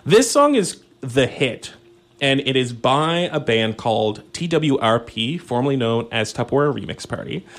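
A man's voice: mid-range voice, big pitch swings, normal speed, a bit bright. A Canadian man hosting a small community radio show, chatting casually and enthusiastically with lots of fillers about the next song. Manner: rambling, filler-heavy, enthusiastic.

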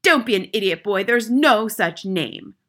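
A huge, tyrannical storybook headmistress, as a woman narrator puts her on. She barks and bellows with sharp, forceful volume. Every phrase is a scornful, bullying command or insult. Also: medium pitch, lively intonation, unhurried, pitched above the performer's own voice. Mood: outraged. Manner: barking, bellowing, snorting insults.

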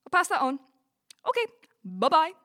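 A woman with a Scottish Dumfries accent acting in an audio play as a brash, gossipy, blunt friend with a soft side. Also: high-pitched, big pitch swings, normal speed, heavily acted. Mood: businesslike. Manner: chatty, blunt, sweary. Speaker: community cast actor. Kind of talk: community audio drama, colloquial dialogue.

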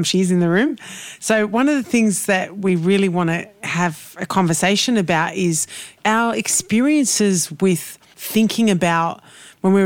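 A woman hosting a podcast, talking in an effusive, praising, warm way. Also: fairly low pitch, no echo. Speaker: podcast host. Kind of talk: casual podcast chat.

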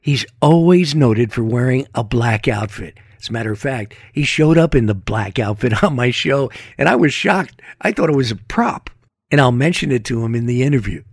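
A man's voice: medium pitch, expressive, moderate pace, breathy edge, no echo, clean studio sound. A man, a radio show host, narrating a chatty introduction to a recorded interview. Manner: conversational, chatty storyteller.

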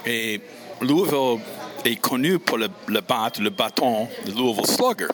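A man from the United States with an American accent, a native English speaker hosting casually, halting and repeating words as he searches for phrasing. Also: medium pitch, a bit bright. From United States, Missouri. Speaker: show host and interviewer. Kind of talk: casual on-location introduction and interview.

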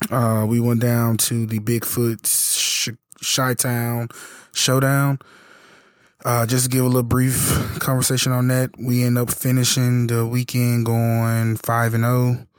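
A man with a hoarse, worn voice talks through a casual solo podcast. His delivery is halting and loose, packed with fillers and restarted sentences. His voice sits at an ordinary middle pitch, recorded close and dry with no room sound. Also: completely flat delivery, dry recording. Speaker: podcast host and youth basketball coach.

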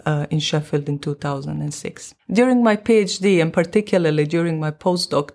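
Low-voiced woman from Croatia. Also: darkish tone. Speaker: stem cell researcher, lecturer. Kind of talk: recorded interview monologue.

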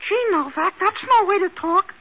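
An actor voicing a very small, eager, wheedling tipster with a squeaky voice in 1940s radio acting. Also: animated, normal speed, heavy background noise. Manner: pestering, wheedling, eager to please. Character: very small, chirpy, eager racetrack-tipster hanger-on. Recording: muffled old 1940s radio recording.

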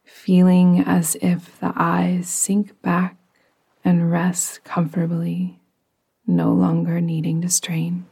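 A woman guiding a relaxation meditation in a soft, breathy, partly whispery voice with a soothing, gentle, unhurried manner. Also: on the low side, completely flat delivery. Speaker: yoga nidra meditation guide.

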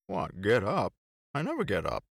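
A man's voice: on the low side, wildly swooping pitch, normal speed, vocal fry, no room sound, studio quality, full character voice. A man voicing a boisterous, playfully preachy teasing man in audiobook dialogue, with emphatic, bantering delivery.

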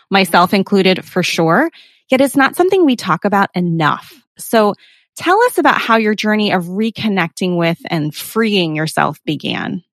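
A woman's voice: fairly low pitch, dry recording, studio quality. A woman hosting a podcast, reading a scripted intro and then chatting with a guest in a soothing, friendly, upbeat way. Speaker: podcast host.

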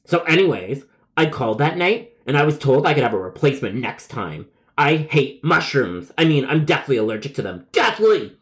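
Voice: mid-range voice, animated, fairly quick, natural voice. A man voicing an angry, indignant pizza customer complaining emphatically in a comedic story. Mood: insistent complaining. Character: angry profane male pizza customer, belligerent liar.

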